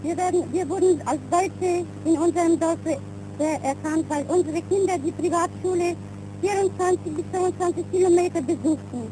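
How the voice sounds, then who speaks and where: A woman tells her own account as a refugee for a 1930s newsreel. Her voice is high. The old recording hums and is clearly noisy. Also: normal speed. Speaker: refugee giving testimony. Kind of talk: personal testimony for a newsreel.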